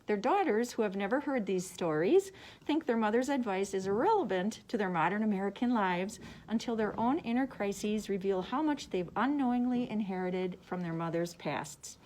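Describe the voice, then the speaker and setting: A woman's voice: medium pitch, lively intonation, normal speed, darkish tone, low-quality recording. A woman librarian presenting book recommendations, partly reading summaries aloud.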